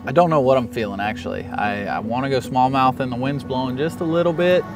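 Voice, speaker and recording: A young man, a professional angler, giving casual running commentary from a bass boat out on a lake. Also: quick pace, over music. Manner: casual, talks to himself and the camera crew between casts. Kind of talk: running commentary while fishing.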